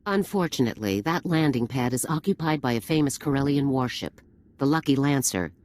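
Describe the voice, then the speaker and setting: Casting: stern army general, low for a woman, commanding, formal game cutscene delivery. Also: expressive, a little fast, a little mellow. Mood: resolute. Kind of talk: video game cutscene acting.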